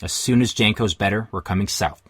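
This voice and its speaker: Woman acting big gruff warrior man, husky, extremely low, protective, audiobook character. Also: a little fast, no echo.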